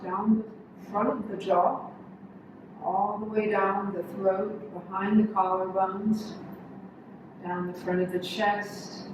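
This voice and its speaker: Female meditation guide, calm and unhurried, short instructional phrases, slow delivery, noisy reverberant room. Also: medium pitch. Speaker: yoga instructor. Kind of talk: guided body scan meditation. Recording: echoey room, distant mic, noisy background.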